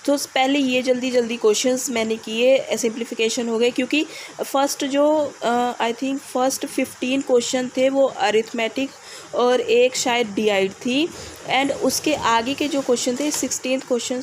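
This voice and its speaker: A young woman from India with a hoarse voice and a fever, giving casual, hesitant exam advice with many pauses. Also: fairly high pitch, fairly even intonation, a little hiss or hum, rough recording. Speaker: exam candidate giving tips.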